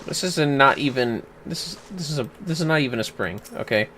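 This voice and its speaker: A game streamer with a bright, forward voice, chatting off the cuff. The delivery is casual, wry and grumbly, with plenty of swearing. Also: mid-range voice, animated, fairly quick, a little hiss or hum. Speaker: livestreamer.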